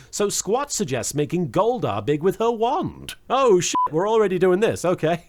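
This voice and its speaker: Animated man recapping a show. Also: high-pitched. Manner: playful, sarcastic, profane. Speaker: host of a show recap and commentary. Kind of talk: scripted comedic recap narration.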